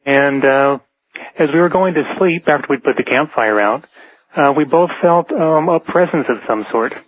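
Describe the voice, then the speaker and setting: A man's voice: on the high side, normal speed. Guy calling into a radio show, plain hesitant telling, thin phone sound, no room echo. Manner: tentative, matter-of-fact. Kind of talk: unscripted personal anecdote. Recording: narrow, dry phone line.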